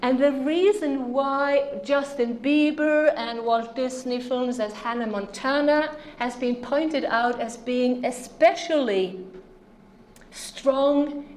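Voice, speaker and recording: Norwegian lady lecturing slow and earnest, kinda high voice, bit of hiss behind. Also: a little mellow. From Norway. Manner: earnest, emphatic, asks the audience rhetorical questions. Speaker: speaker. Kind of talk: conference lecture.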